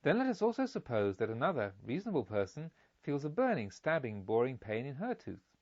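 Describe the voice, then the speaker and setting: A man voicing a wise Indian monk in a storybook, teaching deliberately and emphatically by parable. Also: medium pitch, wildly swooping pitch, darkish tone, highs cut off. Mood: calm, reasoning. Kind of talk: solemn storybook dialogue.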